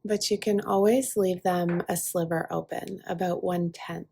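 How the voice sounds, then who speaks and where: A woman guiding a relaxation meditation in a soft, breathy voice, soothing and unhurried, leaving long pauses between short phrases. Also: on the low side, fairly even intonation, a little hiss or hum. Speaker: yoga nidra guide. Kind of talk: guided relaxation meditation, speaking softly to listener.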